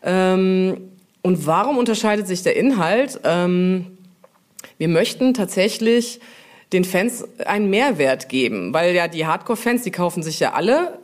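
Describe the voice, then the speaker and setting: A woman's voice: fairly low pitch, lively intonation, measured pace. A woman brand manager as an interview guest, explaining things informally in hesitant, piecemeal phrases.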